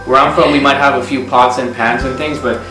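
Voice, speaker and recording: A man's voice: mid-range voice, fairly even intonation, a little fast. A man talking casually as the co-host of a conversational vlog. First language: English. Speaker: video co-host. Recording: echoey room, distant mic, background music.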